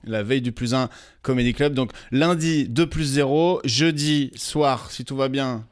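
A man's voice: mid-range voice, a little slow, clear, resonant tone. A man, co-hosting a comedy podcast in casual, teasing, slangy banter. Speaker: podcast co-host.

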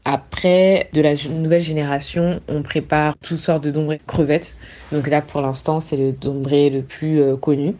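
A woman sharing a family recipe story in a hesitant way, with many filler pauses. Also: deep voice, flat delivery, warm tone.

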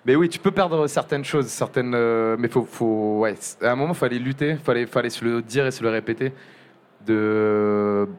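Young male interviewee, relaxed and hesitant, resonant voice. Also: mid-range voice. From Montpellier area. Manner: halting, many restarts and fillers. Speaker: journalist guest. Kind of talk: casual interview talk.

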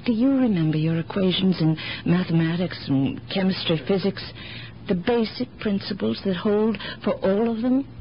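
An actress playing an independent, philosophical elderly aunt with a sharp mind, speaking deliberately in gently contrary riddles in 1970s radio drama style. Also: female voice, mid-range voice, natural voice. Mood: thoughtful, precise. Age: older. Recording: dull, noisy 1970s radio broadcast recording.

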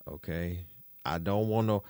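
A podcast host from Detroit doing solo commentary, roasting people in a slangy, playful way with lots of repetition. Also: male voice, low voice, measured pace, a little mellow, no room sound, clean studio sound.

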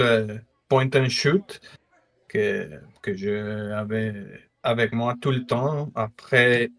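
A young Mexican man speaking French with a Mexican Spanish accent, a photographer answering interview questions with hesitant pauses and fillers. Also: mid-range voice, fairly bright tone. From northern Mexico. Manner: hesitant, many fillers, slight grammar slips. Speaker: guest photographer. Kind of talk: casual interview talk.

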